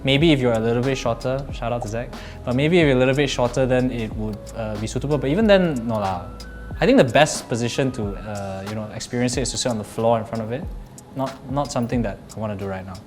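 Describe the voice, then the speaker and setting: A man's voice: medium pitch, fast talker, a little mellow, faint music behind. A man in his thirties with a Malaysian accent, giving casual unscripted impressions and hesitantly thinking aloud. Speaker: tech reviewer. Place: office meeting room.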